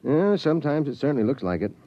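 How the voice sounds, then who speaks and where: A man's voice: mid-range voice, sing-song, quick pace, no echo. A middle-aged man playing a genial small-town father in an old radio drama, musing and folksy. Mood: obliging. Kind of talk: 1950s radio drama acting. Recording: muffled, dry vintage radio recording.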